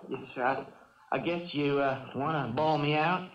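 Casting: man as earnest young rural ballplayer, highish voice, halting and polite, old radio drama. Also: natural voice. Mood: sheepish. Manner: hesitant, folksy, polite. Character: young, humble, earnest country-boy baseball player. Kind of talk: 1970s radio drama acting. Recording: dull 1970s radio recording, distant.

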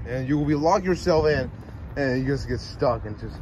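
A young man vlogging outdoors on a handheld phone, giving a halting, mumbly walkthrough of an abandoned building. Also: mid-range voice, expressive, highs cut off. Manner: mumbly, halting, talks to himself. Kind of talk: rambling handheld walkthrough commentary. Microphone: handheld phone camera. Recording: loud traffic and outdoor noise.

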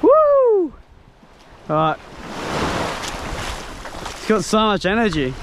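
A young man narrates his own fishing trip, exclaiming at every big fish. His voice sits high and the talk runs slow between bursts. The sound is raw action-camera audio full of wind noise on an open beach. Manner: excitable, exclaiming, laughing often. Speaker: fishing vlogger. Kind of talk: excited fishing commentary. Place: tropical beach outdoors. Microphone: head-mounted action camera.

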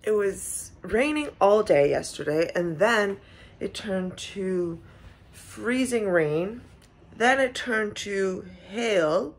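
A woman in her twenties with a slightly hoarse voice, chatting casually in a vlog with frequent asides, recorded on a phone indoors. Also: medium pitch, animated, slow pace. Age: 20s. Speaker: vlogger. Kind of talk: casual vlog chat to camera. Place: small living room indoors, on a couch. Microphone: phone at arm's length.

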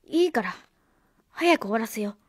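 Lady doing a moody little schoolboy for radio drama, high voice, curt, dry recording. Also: animated, normal speed, no echo. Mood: sullen, curt. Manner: curt, reluctant, casual. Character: sullen, guarded young schoolboy. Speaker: voice actor.

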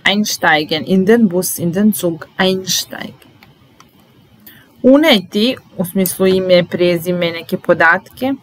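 Young woman, German teacher, very slow and deliberate, lowish voice, laptop mic at a desk. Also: poor audio. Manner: calm, deliberate, repeats each word. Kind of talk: slow vocabulary drill, Serbian to German. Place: small indoor room at a desk.

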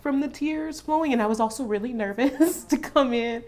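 A woman's voice: sits high, normal speed, a little mellow. A woman, an actress, casually recounting memories in an interview and acting out her own thoughts as she goes.

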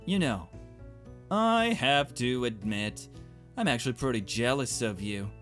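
A man's voice: medium pitch, animated, a little slow, fairly bright tone. A man voicing a flippant, evasive teenage schoolgirl from a visual novel, with breezy, exasperated teen talk. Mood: casual. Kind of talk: visual novel character read aloud. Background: game music.

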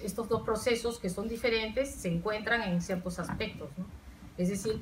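A woman's voice: mid-range voice, far from the mic, rough recording. Woman, middle-aged, reflective, searches for words, faint hiss. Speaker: panel guest writer. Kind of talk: panel discussion. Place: university lecture room, seated at panel table. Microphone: table stand mic heard from far camera.

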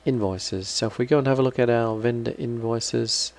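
A man giving an unscripted, halting step-by-step software walkthrough as a trainer. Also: medium pitch, fairly even intonation. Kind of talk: screen-recorded software walkthrough.

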